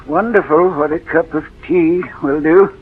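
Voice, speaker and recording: An actor playing a sly, ailing old uncle in 1940s radio drama, deliberate and needling. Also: male voice, fairly high pitch, a little hiss or hum. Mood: dry, probing. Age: older. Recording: muffled old radio recording, narrow band.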